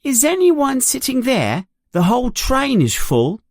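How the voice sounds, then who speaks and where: Narrator doing an eager storybook boy, curious, self-conscious, high pitch, swooping intonation. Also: wildly swooping pitch, a little slow, no echo, heavily acted.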